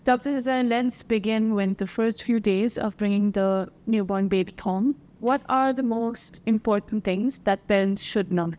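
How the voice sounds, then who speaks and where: A woman hosting a podcast, reading her introduction and questions in a smooth, clear way. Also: mid-range voice, moderate pace, hardly any pauses, darkish tone, low-quality recording. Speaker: podcast host. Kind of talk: scripted interview questions.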